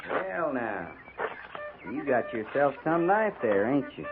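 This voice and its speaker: An actor playing a folksy frontier soldier who goads coolly and slyly in a 1950s western radio drama. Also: male voice, mid-range voice, big pitch swings, slow pace, background noise. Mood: cool needling. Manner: folksy, slyly goading understatement. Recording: thin, tinny 1950s radio recording.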